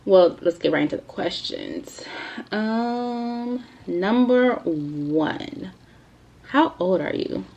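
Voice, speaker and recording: A woman's voice: medium pitch, big pitch swings, takes it slowly, vocal fry, darkish tone, dull audio. A woman in her twenties chatting casually to the camera for her video Q&A, rambling with lots of fillers. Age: 20s. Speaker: YouTuber. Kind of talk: casual Q&A vlog.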